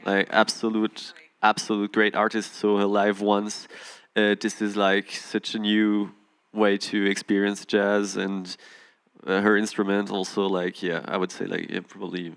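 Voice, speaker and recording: A man's voice: on the low side, completely flat delivery, a little slow, vocal fry, a bit bright, smooth. A man speaking on a conference panel, rambling with lots of "like" and fillers. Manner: rambling, heavy on "like". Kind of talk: panel discussion.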